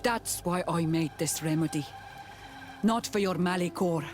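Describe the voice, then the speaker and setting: An actress playing a proud, wary island healer in a game, speaking solemnly and formally with bitterness. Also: female voice, on the low side, measured pace, natural voice. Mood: grave, bitter. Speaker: non-player character. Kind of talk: scripted game dialogue. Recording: game music behind the voice.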